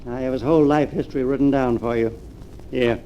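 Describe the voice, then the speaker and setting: A man's voice: medium pitch, fairly even intonation, fairly quick, mellow, lightly acted. An actor playing an obedient, discreet henchman, deferential and matter-of-fact, in 1940s radio drama style. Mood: dutiful. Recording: muffled 1940s radio, faint noise.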